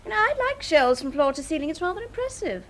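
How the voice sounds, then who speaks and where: A woman's voice: high voice, very animated, fast, fairly bright tone, exaggerated voice. A British woman acting an inquisitive, sceptical secretary to an investigator in a radio drama, conversational and questioning. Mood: curious. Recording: noisy vintage radio drama recording.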